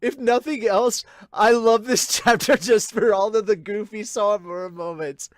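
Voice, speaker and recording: A man hosting a casual reaction show, reading along and commenting as an excitable, sweary fan. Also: high-pitched, normal speed. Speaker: podcast host reacting to a manga. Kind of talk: casual reaction and amateur manga dub read-along.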